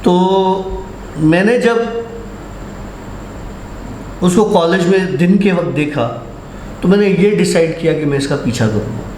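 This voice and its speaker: A man telling a horror story in a casual, unhurried, conversational way, with dramatic pauses. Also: high voice, lively intonation, a little mellow. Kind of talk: casual first-person ghost storytelling. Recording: roomy echo, faint background noise.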